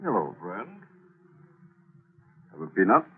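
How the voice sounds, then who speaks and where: An actor playing a sly, smug villain with a leisurely, mock-friendly, taunting manner in a 1940s radio thriller. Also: male voice, sits low, big pitch swings, slow, over loud music, heavily acted. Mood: mock-friendly, menacing. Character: sly, smug male villain after a secret formula. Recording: muffled, tinny 1940s radio recording.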